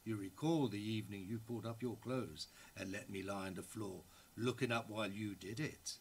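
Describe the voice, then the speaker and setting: A lustful, teasing writer of the early 1900s, played by a man, speaks in a fairly low male voice. It is a dramatic reading of an intimate letter to his beloved. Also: normal speed, a little hiss or hum, close to an everyday voice.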